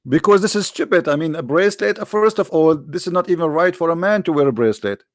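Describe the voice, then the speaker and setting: Mocking debater. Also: male voice, a little high, fast, dry recording, clean studio sound. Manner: challenging, mocking, repeats questions. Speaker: debate host. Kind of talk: argumentative call-in debate.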